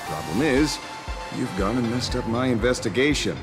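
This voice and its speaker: A man's voice: medium pitch, lively intonation, moderate pace, natural voice. Man voicing a cynical investigator, sardonic teasing drawl, cagey, game dialogue, very varied intonation, music underneath. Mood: mocking. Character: sly, cynical male investigator, taunting and cagey.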